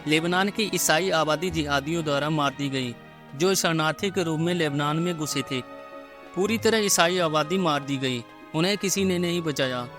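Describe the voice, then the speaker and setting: A man's voice: on the high side, rapid-fire, over music. A man narrating a scripted video voice-over, addressing listeners directly in an urgent manner.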